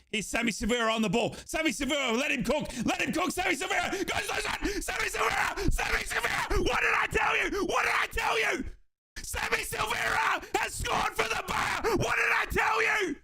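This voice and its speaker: A young Australian man giving excited live football commentary on a watchalong stream, shouting and repeating himself, his voice going hoarse. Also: very high-pitched.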